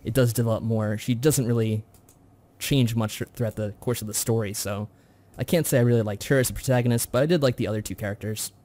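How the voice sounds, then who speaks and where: A man co-hosting a casual review chat, talking in a measured, mild-mannered way. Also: medium pitch, darkish tone. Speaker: podcast co-host.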